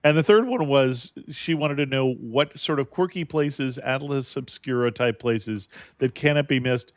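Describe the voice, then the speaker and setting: A man's voice: mid-range voice, unhurried, dry recording. A man hosting a travel interview podcast, asking his guest short, friendly questions. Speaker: podcast host. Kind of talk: casual interview.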